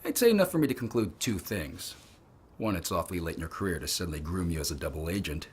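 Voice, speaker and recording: Smooth-mannered spy boss, deep husky voice, formal and probing, really expressive, audio drama. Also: male voice, full character voice. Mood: courteous, dry. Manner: measured, formal, dryly courteous. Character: polished, shrewd male Chinese intelligence officer, courteous and probing.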